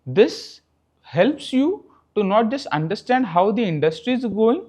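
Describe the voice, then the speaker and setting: A man's voice: high voice, big pitch swings, a little slow, darkish tone. A young Indian man in his twenties whose first language is Marathi, speaking Indian English as a professor answering interview questions, checking in with "okay" after his points. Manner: explanatory, says "okay" often after points. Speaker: guest, professor.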